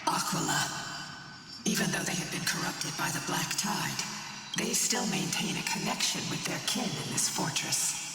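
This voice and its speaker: Low, heavily echoing guide. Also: female voice, animated, normal speed, creaky, sharp tone, full character voice. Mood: grave. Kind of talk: fantasy game acting. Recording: very echoing hall, faint music.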